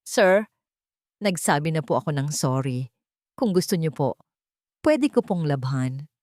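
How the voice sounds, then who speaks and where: A woman's voice: low voice, big pitch swings, measured pace, a little mellow, no room sound, clean studio sound. A woman voicing a poor young maid from the provinces, respectful yet firm, in an audio story. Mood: defiant politeness. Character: poor, brave young provincial maid, polite but proud.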